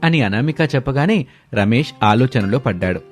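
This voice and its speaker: A man's voice: medium pitch, animated, moderate pace, soft background music. A man narrating a scripted moral family story as a storyteller.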